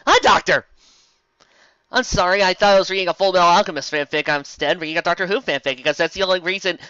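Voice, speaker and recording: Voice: medium pitch, sharp tone, dry recording, muffled recording. A host reading fan fiction comedically, with theatrical, exclamatory delivery and sarcastic asides. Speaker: host of a fanfic reading show. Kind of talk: comedic dramatic reading with mocking commentary.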